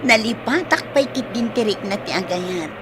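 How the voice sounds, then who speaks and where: A woman's voice: a little high, expressive, moderate pace, noisy background, close to an everyday voice. A woman acting in a radio drama, playing a friendly, coy single schoolteacher. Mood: sympathetic.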